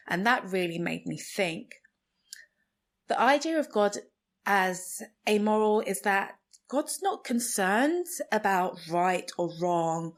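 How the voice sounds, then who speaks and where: A woman from Nigeria whose first language is Igbo, hosting a solo podcast and thinking aloud slowly and carefully. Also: medium pitch. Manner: slow, thoughtful, searching for words. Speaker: podcast host. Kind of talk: reflective solo podcast monologue.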